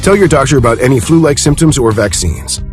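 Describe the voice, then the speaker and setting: Calm male commercial voiceover. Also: medium pitch, brisk, soft background music. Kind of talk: scripted commercial read.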